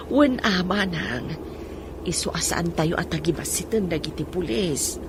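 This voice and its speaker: A woman's voice: lowish voice, measured pace, lightly acted. Woman acting a fretful mother over background noise. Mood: sympathetic, concerned. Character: concerned mother, sympathetic sister to the victim. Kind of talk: Ilocano radio drama acting. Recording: clear background noise and ambience.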